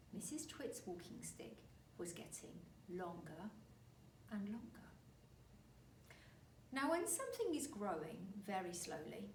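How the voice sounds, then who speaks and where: A woman reading a children's story aloud like a teacher, with dramatic, deliberate emphasis. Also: medium pitch, vocal fry. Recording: roomy, distant mic, faint noise.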